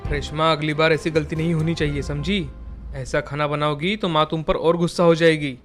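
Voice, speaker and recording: A man playing a stern but reasonable young husband and son in an animated family story, plain and admonishing. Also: a little high, moderate pace, faint music behind, natural voice. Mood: displeased. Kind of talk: animated Hindi moral story dialogue.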